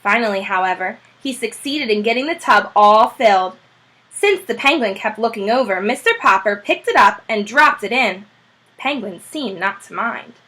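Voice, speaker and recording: A woman's voice: medium pitch, moderate pace, low-quality recording. A woman teacher reading a children's chapter book aloud, telling it expressively. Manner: expressive, animated storytelling.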